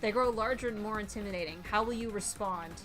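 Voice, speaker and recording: A woman streamer doing a playful let's-play, reading game text aloud and reacting with animated exclamations. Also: mid-range voice, fast, faint music behind.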